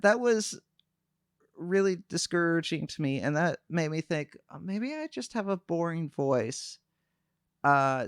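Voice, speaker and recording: A young man talks calmly to his stream audience, pausing often with fillers and restarts. His voice sits a little high for a man and sounds smooth and clean, recorded close with a dry, dead sound. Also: dry recording. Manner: calm, soft-spoken, hesitant with many fillers. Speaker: VTuber streamer. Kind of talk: casual livestream chat.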